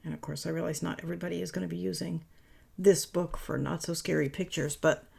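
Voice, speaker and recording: A woman narrates a craft tutorial in a loose, chatty way, often correcting herself. Her voice is raspy and worn after a long day of talking. It has a heavy gravelly fry and a husky edge. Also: on the low side, fairly even intonation, fast, darkish tone. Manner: rambling, self-correcting, chatty. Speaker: papercraft tutorial host. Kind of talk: casual voice-over tutorial.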